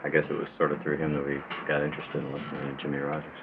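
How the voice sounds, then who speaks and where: A man's voice: a bass voice, monotone, racing, creaky, background noise. A man chatting casually as a musician guest in a radio interview. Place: radio studio. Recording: tinny, band-limited old radio aircheck.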